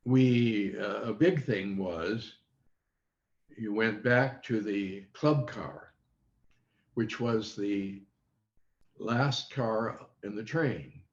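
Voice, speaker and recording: An elderly man reminiscing as an interview guest, halting and circling back to rephrase, on a video call. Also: fairly low pitch. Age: over 70. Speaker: interview guest, former child radio quiz contestant. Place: online video meeting. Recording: dull, echoey video call audio.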